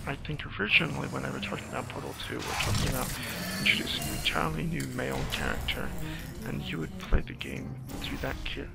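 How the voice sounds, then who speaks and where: A man improvising playthrough commentary on a video game, thinking aloud in a hesitant, halting way with a gravelly voice. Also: medium pitch, poor audio. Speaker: screenwriter doing game commentary. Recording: game music behind the voice. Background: video game music.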